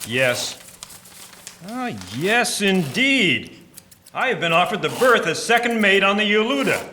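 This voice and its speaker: A man playing a gruff, impatient nineteenth-century sea captain in a radio drama. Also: high voice, big pitch swings, a little slow. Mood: proud, pleased. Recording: roomy, faintly noisy drama recording.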